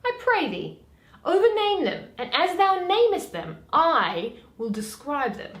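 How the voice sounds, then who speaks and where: A woman playing a witty, weary young noblewoman in a dramatic, deliberate reading of Shakespeare. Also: very high-pitched, huge pitch swings, fairly bright tone, pitched above the performer's own voice, heavily acted. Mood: mocking. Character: Portia, witty weary young noblewoman, mocking her suitors. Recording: echoey room.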